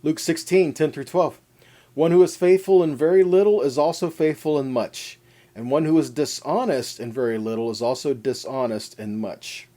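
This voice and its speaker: A man with a fairly high, very mellow voice gives an informal scripture teaching. He speaks conversationally and directly to his listeners. He drives each point home with repeated phrases and parallel lists. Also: normal speed. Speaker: preacher teaching a scripture lesson. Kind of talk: informal sermon with scripture readings.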